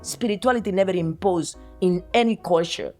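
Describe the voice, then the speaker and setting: A woman's voice: lowish voice, brisk, a little mellow, soft background music. A woman in her sixties with a Cuban Spanish accent, a Spanish speaker, talking earnestly in an interview and often restarting as she searches for words. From Cuba. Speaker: guest artist. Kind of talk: reflective interview talk.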